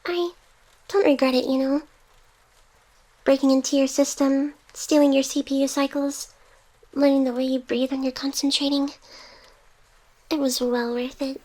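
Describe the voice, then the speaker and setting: Woman doing a teasing ai hacker girl, really high soft voice, devoted, asmr roleplay. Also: fairly even intonation, normal speed, long pauses, lightly acted, poor audio. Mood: tender, wistful. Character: playful kuudere female AI hacker, devoted and teasing.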